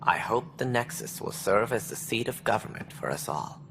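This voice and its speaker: An actor playing an officious alien station director in a video game, speaking precisely and formally with a wry, earnest streak. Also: male voice, on the low side, expressive, moderate pace, background noise. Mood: earnest, stately. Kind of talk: video game dialogue acting.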